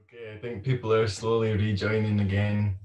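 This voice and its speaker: Low flat-voiced man hosting, distant and roomy. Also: brisk, muffled recording. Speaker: event host. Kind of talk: moderating an online event. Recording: roomy sound, far from the mic.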